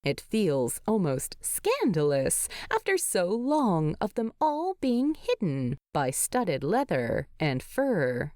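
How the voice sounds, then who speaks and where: A woman reading a story aloud as an expressive scripted narration. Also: lowish voice, slow pace, few pauses, no room sound, clean studio sound. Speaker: narrator of fan fiction.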